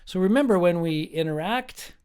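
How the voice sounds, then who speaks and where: A man, a professor, giving a slow, emphatic recorded lecture with playful asides. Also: high voice, expressive, darkish tone, clean tone.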